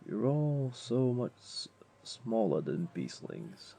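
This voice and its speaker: A man's voice: lowish voice, very animated, takes it slowly, gravelly, warm tone, husky, heavily acted. A man playing a wealthy, flirtatious jaguar beastling in a comforting audio roleplay, tender and teasing, with a coaxing, purring delivery. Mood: teasing, flirty.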